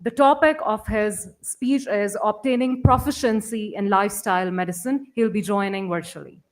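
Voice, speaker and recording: A woman's voice: mid-range voice, normal speed. A woman emceeing a conference, introducing speakers and guests in a polite, ceremonial manner. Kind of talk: formal stage announcing.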